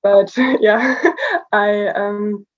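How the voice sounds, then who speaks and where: A woman's voice: medium pitch, fairly even intonation, drawn-out words, muffled recording. A young woman from Germany with a slight German accent, talking as a writer in an interview, thoughtful and hesitant with many fillers. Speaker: award-winning writer, guest. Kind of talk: casual interview over video call.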